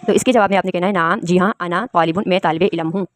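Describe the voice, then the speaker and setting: A woman's voice: on the low side, fairly even intonation, fast talker. A woman from Pakistan, teaching an Arabic lesson and patiently explaining each word. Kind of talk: spoken language lesson.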